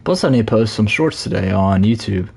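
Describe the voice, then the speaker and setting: A man with a low, scratchy voice and plenty of gravel in it, a little hoarse from talking for so long. He chats loosely through a game stream, wandering and correcting himself as he goes. Keys tap quietly in the background. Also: animated, fairly quick. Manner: rambling, self-correcting, many fillers. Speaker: Minecraft live streamer. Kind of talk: casual live-stream chat while playing.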